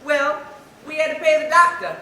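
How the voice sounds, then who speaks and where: A teenage girl playing a gentle, anxious, protective mother in a stage melodrama. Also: sits high, fairly even intonation, normal speed. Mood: tender, worried. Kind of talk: high school stage melodrama. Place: large school theatre stage, filmed from afar. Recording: echoey auditorium from far off, noisy.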